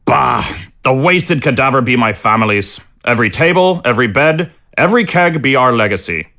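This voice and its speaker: A man's voice: medium pitch, animated, normal speed, sharp tone. A man playing a proud, stubborn, blustery innkeeper with colloquial speech in a fantasy audio drama. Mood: indignant. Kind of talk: scripted audio drama acting.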